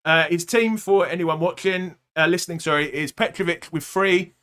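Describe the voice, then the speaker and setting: A man's voice: high-pitched, moderate pace, pushed and bright. A man hosting a casual football fantasy podcast, chatty and full of little fillers. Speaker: podcast host.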